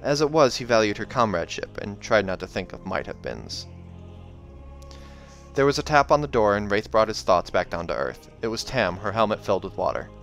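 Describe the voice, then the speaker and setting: A man's voice: fairly low pitch, lively intonation, fairly quick, fairly bright tone. A man hosting a read-along, narrating a novella expressively with casual asides. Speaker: host reading aloud and reacting. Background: video game soundtrack music.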